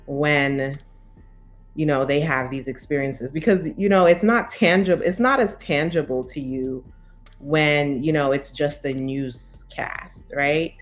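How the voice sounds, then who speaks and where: Slow, low-voiced woman in her thirties. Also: soft background music. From Brooklyn, by way of Saint Vincent. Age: 30s. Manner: thoughtful, with many pauses and fillers. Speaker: interviewee. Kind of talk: reflective interview.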